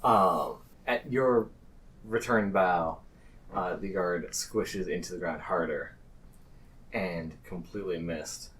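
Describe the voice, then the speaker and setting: A man narrating a tabletop game as game master, improvising descriptions in a halting way. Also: low voice, fairly bright tone, distant mic.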